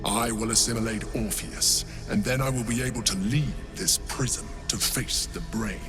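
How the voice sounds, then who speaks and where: An actor playing a cold, commanding male mind flayer in a video game, measured and superior in manner. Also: on the low side, music in the background, close to an everyday voice. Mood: cold insistence. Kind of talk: video game dramatic acting.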